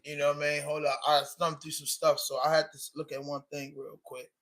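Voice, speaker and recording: A Baltimore man with a slightly high voice hosts a casual live stream, hyping up his chat. His talk wanders and circles back, full of "you know what I mean," as he asks people where they are from. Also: level tone, fairly quick, a bit bright. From Baltimore, Maryland, US. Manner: loose, rambling, repeats "you know what I mean", urges viewers. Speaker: live-stream vlogger and news reactor. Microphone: camera on a tripod.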